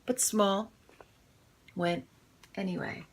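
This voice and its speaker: Unhurried woman reading a children's storybook. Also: mid-range voice, husky. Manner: animated, dramatic read-aloud delivery. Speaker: storybook reader. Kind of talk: expressive children's storybook narration. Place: in bed, indoors.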